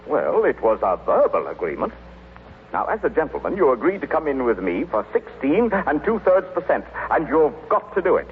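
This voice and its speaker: A man's voice: fairly high pitch, wildly swooping pitch, normal speed. An actor playing a pompous, shrewd businessman in an old-time radio comedy, formal and blustery with much hemming. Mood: smug, insistent. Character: pompous, shrewd businessman, self-satisfied and suspicious. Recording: noisy, tinny old-time radio recording.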